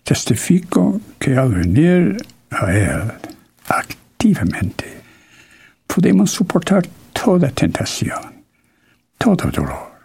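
Slow, gravelly man giving a solemn sermon. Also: medium pitch, darkish tone. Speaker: church conference speaker. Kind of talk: solemn sermon closing testimony.

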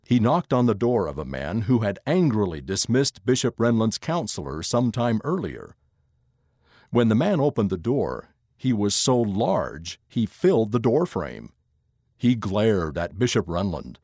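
A man giving a scripted narration of an article in a measured, expressive reading voice. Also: lowish voice, no echo, studio quality.

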